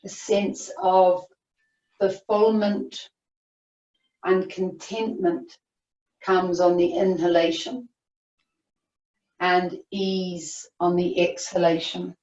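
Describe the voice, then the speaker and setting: A woman yoga teacher leading a guided meditation, giving soothing, unhurried instructions with long pauses. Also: on the low side, little pitch movement, darkish tone. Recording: roomy, distant, muffled video-call audio.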